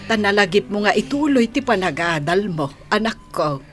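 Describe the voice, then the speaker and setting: An actress playing a caring mother advising her grown son in a radio drama, motherly and gently admonishing, with an Ilocano Filipino accent. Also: medium pitch, expressive, normal speed, close to an everyday voice. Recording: soft music behind dialogue.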